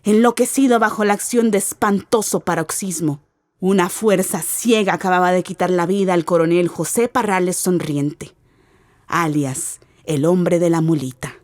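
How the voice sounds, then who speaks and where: A woman's voice: on the low side, moderate pace, a little mellow, no echo, studio quality. A woman giving an ominous, theatrical narration of a literary radio drama.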